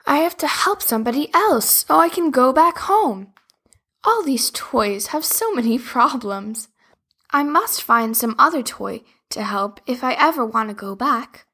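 A woman's voice: high-pitched, animated, a little slow, bright, forceful tone, breathy edge, full character voice. A woman voicing a kind, helpful young girl in a storybook, speaking cheerfully and eagerly.

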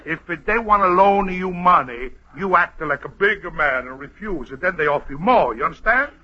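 An actor playing a cocky, joking Italian immigrant friend who speaks broken English with an Italian accent in an old-time radio comedy. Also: male voice, high-pitched, animated, normal speed, pushed and bright, lo-fi sound, full character voice. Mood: scheming.

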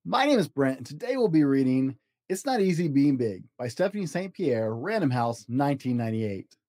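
Man, storybook reader, very lively intonation, mellow tone, dry close sound, expressive delivery for young children. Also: medium pitch, fairly quick, dry recording. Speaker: podcast host reading picture books aloud.

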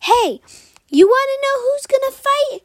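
A woman voicing a small, rude and boastful male muskrat with a squeaky, taunting voice. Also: animated, unhurried, no room sound, studio quality.

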